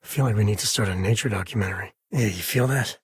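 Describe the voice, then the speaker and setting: Video game space explorer dad: very husky mid-pitched voice, folksy, wry, easygoing, close and dry. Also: male voice, fast talker, no echo. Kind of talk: video game character dialogue.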